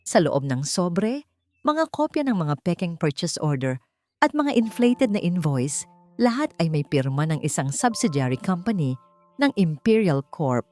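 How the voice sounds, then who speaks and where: A woman reading a scripted, dramatic suspense love story in short, measured phrases. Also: lowish voice, very animated, a little mellow, dry recording. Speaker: narrator of a story channel. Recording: clear music bed behind the voice. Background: background music.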